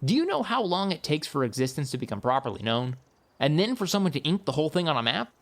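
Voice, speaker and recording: A breezy uncle with a taste for adventure , as a woman narrator plays him. He talks in flamboyant, mock-grand flourishes, swinging widely in pitch with a creaky edge. The delivery suits a playful storybook. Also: very animated, fast, heavily acted. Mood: grandly reassuring. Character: jaunty, theatrical uncle, a carefree traveling adventurer.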